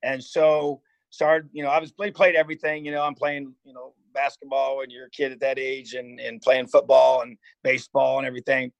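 A man's voice: mid-range voice, little pitch movement, a little fast, gravelly, few pauses, dry recording, poor audio. A man in his fifties from Indiana, a football coach telling his life story in a casual interview, rambling and earnest with many restarts. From Indiana, USA. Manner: rambling, earnest, frequent "you know" and restarts. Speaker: head football coach, guest.

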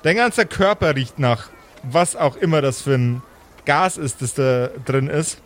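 A man narrating an improvised pen and paper story as game master, theatrical and pausing for effect. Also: fairly high pitch, a bit bright.

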